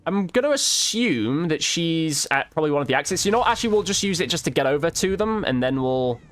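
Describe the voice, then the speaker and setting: A man's voice: fairly high pitch, expressive, quick pace, fairly bright tone. A man giving casual gameplay commentary, informally thinking aloud as he plays. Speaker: gaming video creator.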